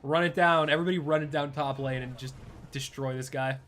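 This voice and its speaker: A young man streaming a game, chatting casually in a laid-back, chuckling way with a fairly high-pitched voice. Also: fairly even intonation, brisk, fairly bright tone. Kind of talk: casual live gameplay commentary.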